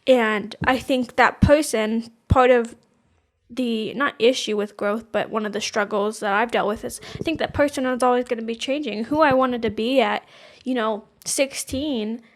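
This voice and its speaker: Twenty-something woman on a podcast, kinda high voice, rambles a lot and hunts for words. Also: moderate pace. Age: 20s. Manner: rambling, searching for words, many "like"s. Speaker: podcast co-host. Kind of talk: casual chat.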